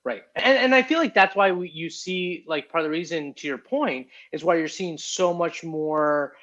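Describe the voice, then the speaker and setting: A man interviewing in a casual conversation, relaxed and leaning heavily on "like". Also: fairly high pitch, normal speed, dull audio. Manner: relaxed, heavy use of "like" filler.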